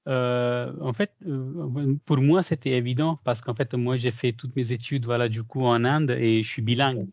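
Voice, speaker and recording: A man talks through his experience in a relaxed, halting way, with lots of fillers and restarts. French is not his first language. His voice is dry, with no room echo, and comes through a muffled, lo-fi call. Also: medium pitch. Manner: hesitant, many fillers and restarts. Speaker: certified former trainee sharing his experience. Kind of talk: casual testimonial in a video call Q&A.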